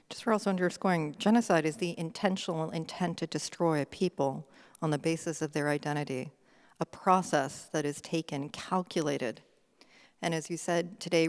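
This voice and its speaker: A woman's deep-set voice carries through a hall with long reverb. She moderates a formal event, speaking deliberately and precisely. Her manner is solemn. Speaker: panel moderator. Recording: echoey hall sound.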